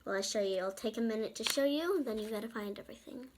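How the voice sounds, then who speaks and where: Young female child reader, high voice, chatty picture-book read-aloud with asides, noisy home recording. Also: fast. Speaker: storybook reader and channel presenter.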